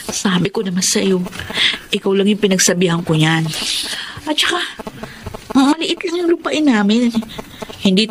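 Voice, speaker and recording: A woman's voice: mid-range voice, expressive, moderate pace, pauses often, slight background noise, natural voice. A woman playing a guarded, easily flustered provincial college student in a Filipino radio drama.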